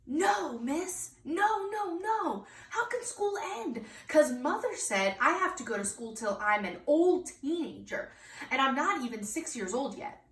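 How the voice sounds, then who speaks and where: A woman's voice: sits high, big pitch swings, unhurried, pitched above the performer's own voice. A woman voicing an outspoken, dramatic girl of almost six from a storybook, with emphatic childlike grammar. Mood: dramatic dismay. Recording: echoey room, distant mic, faint noise.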